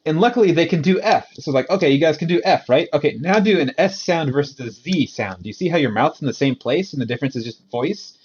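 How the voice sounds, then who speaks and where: A man who teaches English in Japan, chatting casually on a podcast and explaining with animated frustration. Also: on the high side, quick pace, few pauses, lo-fi sound, slight background noise.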